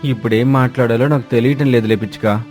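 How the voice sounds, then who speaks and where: A man as a stubborn cartoon crow husband, mid-pitched. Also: fairly even intonation, quick pace, close to an everyday voice. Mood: grudging, conceding. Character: suspicious, stubborn crow husband who gives in. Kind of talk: dramatized moral story cartoon dialogue. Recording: music bed behind voice.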